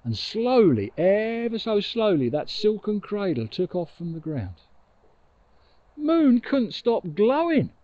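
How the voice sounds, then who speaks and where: A middle-aged man telling a children's story expressively, with dramatic pauses, recorded outdoors on a lapel mic. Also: sits high, sing-song, mellow, no echo, highs cut off. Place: outdoors on an open riverbank. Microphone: lapel mic with furry windshield.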